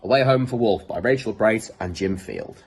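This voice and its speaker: A man reading a children's picture book aloud in an expressive, dramatic way. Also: fairly low pitch, normal speed, vocal fry, slightly rough. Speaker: storybook reader.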